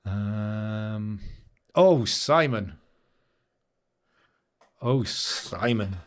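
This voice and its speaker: A man's voice: lowish voice, expressive, very slow. A man hosting a live stream, chatting casually and rambling while he edits photos, often laughing and answering comments from chat. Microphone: new studio microphone.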